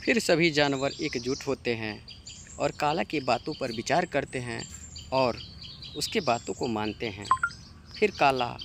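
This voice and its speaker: A man tells a children's animal tale as narrator. He reads very slowly at a middling pitch. Birdsong and a little ambient noise are heard behind him. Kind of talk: scripted storytelling narration for a children's cartoon.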